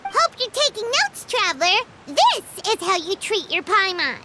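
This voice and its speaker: A woman voicing a tiny, excitable childlike fairy companion in a video game, with a squeaky voice and exclamatory manner. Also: unhurried, heavy background noise, exaggerated voice. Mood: delighted. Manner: refers to self in third person, exclamatory. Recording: loud music under the voice.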